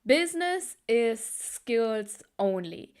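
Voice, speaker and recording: A woman's voice: fairly high pitch, very animated, takes it slowly, smooth. A woman from Germany with Tamil as her mother tongue, giving an emphatic motivational talk as a business coach, often repeating phrases for weight.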